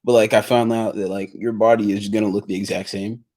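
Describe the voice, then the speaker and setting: A man's voice: fairly low pitch, little pitch movement, fairly quick, darkish tone. A teenage boy chatting casually with his mother, rambling and using lots of "like" and "right?". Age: teens. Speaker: son of the host. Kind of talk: casual chat.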